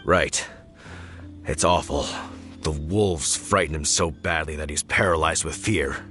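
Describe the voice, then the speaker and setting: A man's voice: rumbling low, a little slow, pushed and bright, soft background music, full character voice. A man playing a sorrowful, sympathetic townsman in an anime video game. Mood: pitying, dismayed.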